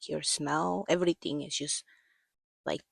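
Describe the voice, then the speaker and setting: A woman's voice: deep voice, steady tone, measured pace, gravelly, slightly rough, dry recording, studio quality. A woman in her thirties telling a personal story as a podcast host, rambling and hesitant with many fillers, her voice worn from allergies and coughing.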